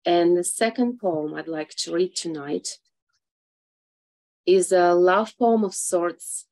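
A woman reads poetry on a video call. She speaks slowly, in a slightly low voice. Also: a little mellow. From Russia. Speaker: poet at an open mic. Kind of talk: poetry reading with a short spoken introduction. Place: small indoor room.

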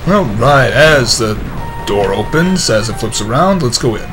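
A man gives casual video game commentary at an ordinary mid pitch. He sounds tired and a touch hoarse, with a wry, self-mocking manner. Game music runs clearly behind him. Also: lively intonation, measured pace. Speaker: let's play commentator.

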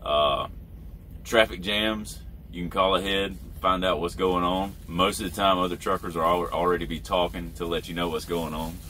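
A man with a deep, low voice talks informally, like a trucker walking viewers through a job. His delivery is laid-back and folksy. He is recorded inside a truck cab, with faint noise and ambience behind him. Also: pushed and bright. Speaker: truck driver and vlogger. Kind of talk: casual how-to vlog talk.

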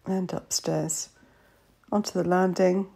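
A woman's voice: lowish voice, steady tone, slow pace, creaky, mellow, breathy edge. A woman estate agent narrating a walkthrough of a house, listing its features matter-of-factly in short phrases. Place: inside an old house.

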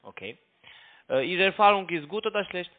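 A man with a foreign accent and non-native, halting German with broken grammar, making an unscripted sales call over the phone as a broker. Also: high voice, animated. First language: not German. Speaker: cold caller posing as a broker. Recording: tinny narrow phone line.

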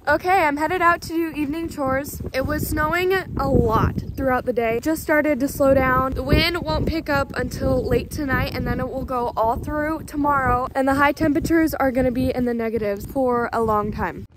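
A woman's voice: sits high, moderate pace, few pauses, poor audio. A young American woman, in her teens or just past, talking casually to the camera as a farm vlogger. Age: teens to young adult. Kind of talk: casual vlog narration. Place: snowy farm outdoors, barns, and a room indoors. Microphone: phone at arm's length.

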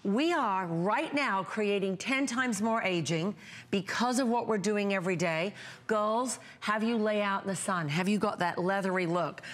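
A woman in her fifties gives a chatty, emphatic home shopping pitch, piling up vivid descriptive words as she talks to viewers. Her voice sits in a middle pitch, picked up cleanly on a lapel mic in a bright TV studio. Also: moderate pace. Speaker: brand founder presenting on a shopping channel.

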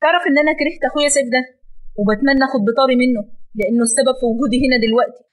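A woman's voice: sits high, racing. A woman with an Egyptian accent voicing a heartbroken young wife who accuses her husband in anguish in an audio drama. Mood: bitter.

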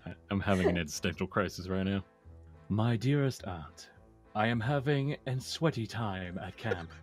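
A man's voice: on the low side, lively intonation, moderate pace, faint music behind. A man joking with friends and reading aloud in a playful, theatrical way. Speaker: player in a word game. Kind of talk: casual joking chat and comic reading aloud.

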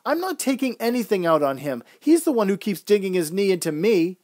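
Anxious female clown, acted by a man, whining, sickly, raised pitch, radio play. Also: big pitch swings, fairly quick, darkish tone. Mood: pained. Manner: whining, hesitant. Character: whiny, queasy, anxious woman clown.

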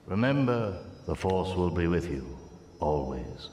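A man voicing a wise old Jedi mentor in an animated game cutscene, solemn and guiding. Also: low voice, a little slow, very noisy. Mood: serene. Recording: loud film score over the voice.

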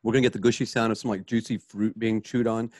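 A man's voice: fairly low pitch, flat delivery, quick pace, darkish tone, no room sound, clean studio sound. A man casually narrating and directing a radio play, informal and conversational. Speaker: director reading stage directions.

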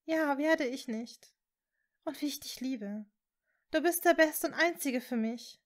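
A woman voicing a young witch in love, speaking with soft, earnest emotion in a fantasy audiobook. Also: high-pitched, lively intonation, fast talker, voice pushed up. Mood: tender.

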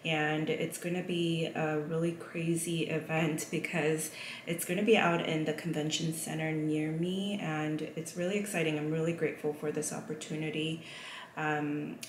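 A young woman talks casually to her vlog viewers in a low-pitched voice. The room adds a noticeable echo, and there is faint noise behind her. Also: level tone, moderate pace. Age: young adult. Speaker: vlogger and paper crafter. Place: small indoor craft room.

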